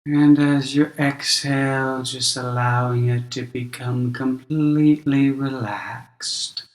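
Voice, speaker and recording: A male meditation teacher guides a practice at a very slow pace. His delivery is gentle and measured, with long pauses between instructions. His mid-pitched voice sits in a clearly roomy, reverberant sound. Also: fairly even intonation.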